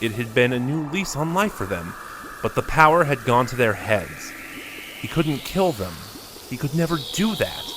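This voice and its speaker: A man narrating a scripted story in a measured, steady reading. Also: medium pitch, noisy background.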